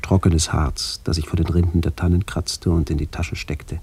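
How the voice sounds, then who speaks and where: An actor playing a brooding, melancholy middle-aged man who narrates a literary radio play in a measured, introspective way. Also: low voice, level tone, a little mellow, breathy edge, exaggerated voice. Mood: contemplative. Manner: measured, introspective, poetic delivery.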